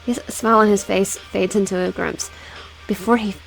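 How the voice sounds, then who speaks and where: A woman's voice: mid-range voice, steady tone, moderate pace, gravelly, faint music behind, poor audio. A young woman reading a visual novel aloud with side comments, often stumbling, restarting words and giggling.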